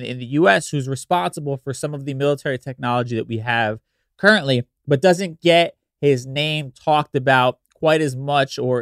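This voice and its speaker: A man's voice: medium pitch, no room sound, studio quality. A man hosting a podcast solo in a casual, meandering, conversational way, sounding slightly nasal and congested from allergies. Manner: conversational, meandering, frequent "you know". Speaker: podcast host.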